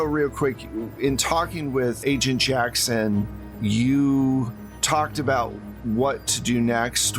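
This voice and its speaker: A man's voice: medium pitch, fairly bright tone. Man narrating a tabletop game over music. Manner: conversational storytelling. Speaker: game master.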